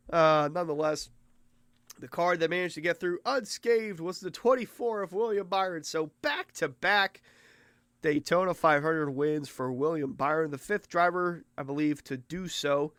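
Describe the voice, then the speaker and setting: A hoarse man with a fairly high voice talks through a race recap in a loose, rambling way. He gets excitable as he goes, and the sound is dry and close. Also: a little slow, dry recording. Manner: rambling and excitable, mimics officials in mock voices. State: hoarse, losing his voice. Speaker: racing podcast host. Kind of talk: casual race recap commentary.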